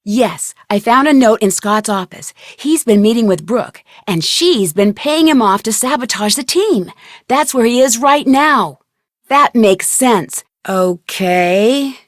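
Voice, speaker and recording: A woman playing an inquisitive, plucky young female amateur detective in a video game, speaking in an earnest, expressive way. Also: fairly high pitch, slow, no room sound, clean studio sound. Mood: determined, earnest.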